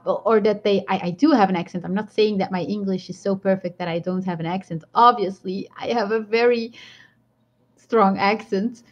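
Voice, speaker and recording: A woman with a strong Belgian accent chatting casually on a live stream, rambling and laughing often as she answers viewer comments. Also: mid-range voice. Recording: dull lo-fi stream audio.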